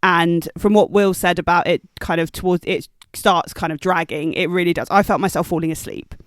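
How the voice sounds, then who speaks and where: A man with a high-pitched, clean and resonant voice discusses film as a podcast co-host. He is casual yet analytical, weighing things in a considered way. The recording is very dry. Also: steady tone, a little fast, a bit bright, no room sound.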